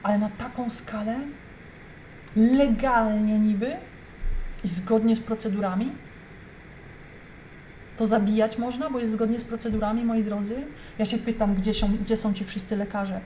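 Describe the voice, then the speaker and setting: A woman's voice: on the high side, steady tone, normal speed, pauses often, mellow, a little hiss or hum, rough recording. A young adult woman giving heated, emphatic reaction commentary, talking straight to her viewers. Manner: indignant, emphatic, addresses viewers directly.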